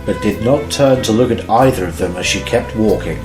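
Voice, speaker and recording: A man's voice: lowish voice, a little fast, over music. Echoey male story narrator. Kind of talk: scripted narration of amateur audio drama. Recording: roomy, echoing home recording.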